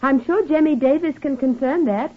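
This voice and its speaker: A woman's voice: high voice, moderate pace, natural voice. An actress playing a genteel, guarded woman who manages a farm, speaking carefully and insistently in an old radio drama. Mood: guarded, uneasy. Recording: muffled, band-limited vintage radio recording.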